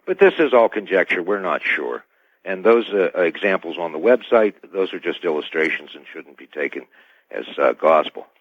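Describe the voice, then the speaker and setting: A man with a very deep voice explains technical matters as a radio guest. His wording is formal and cautious. The audio is dull and band-limited, with a dry sound free of room echo. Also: expressive, moderate pace, breathy edge. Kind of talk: spoken technical explanation in an interview.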